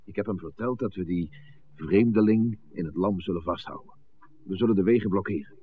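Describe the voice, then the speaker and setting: A man's voice: deep voice, a little fast, slight background noise, lightly acted. A man acting a blunt, confident village conspirator, impatient and persuasive, in a 1960s radio play. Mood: self-assured. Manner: blunt, insistent. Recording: muffled, narrow-band old radio recording.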